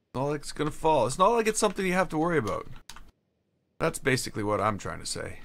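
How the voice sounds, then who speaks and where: A man with a bright, edged tone talks through his game stream in a loose, halting way. His voice has a gravelly fry at the bottom. Keyboard typing and clicks sound behind him. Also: on the high side, expressive. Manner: rambling, halting, answers chat between tasks. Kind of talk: casual live-stream chat while building.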